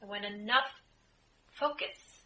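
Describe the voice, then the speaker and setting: Slow, bright-voiced woman guiding meditation. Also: medium pitch, highs cut off. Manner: measured, deliberate phrasing with frequent pauses. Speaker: spiritual channeler leading group meditation. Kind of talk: slow guided meditation, speaking as channeled spirit. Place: indoor room, live group setting. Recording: live group room, clear background noise.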